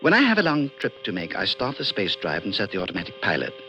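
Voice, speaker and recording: An actor in an old radio drama playing a polite, serious alien visitor who speaks in a measured, precise, mildly condescending way. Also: male voice, lowish voice, wildly swooping pitch, bright, forceful tone, full character voice. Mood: patient, explaining. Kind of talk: 1940s-50s radio drama acting. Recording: muffled vintage radio recording, faint music.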